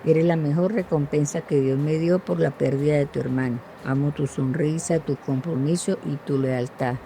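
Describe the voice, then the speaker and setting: A woman's voice: deep voice, steady tone, normal speed, dark tone, a little hiss or hum, low-quality recording. A woman speaking a tender, heartfelt recorded message to her son. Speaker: mother of the guest.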